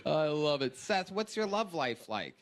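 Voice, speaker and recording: A man's voice: on the high side, very animated, a little slow. A man hosting a live comedy show, teasing and needling as he interviews a guest with mock amazement. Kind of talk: live roast banter, interviewing a guest comic.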